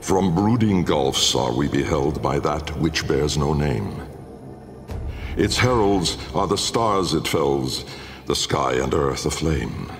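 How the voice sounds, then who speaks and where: An actor playing an old, haunted, learned nobleman-scientist in a video game, delivering a grave, measured gothic monologue with a gravelly voice. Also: rumbling low, expressive, full character voice. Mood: ominous. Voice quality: gravelly, creaky. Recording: long cavernous reverb under music.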